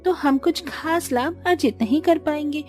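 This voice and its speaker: A woman acts out an earnest, practical village daughter-in-law in a cartoon story. Her voice is high with a soft, mellow tone. She explains earnestly over background music. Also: normal speed, close to an everyday voice. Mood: earnest explaining. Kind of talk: Hindi cartoon story dialogue.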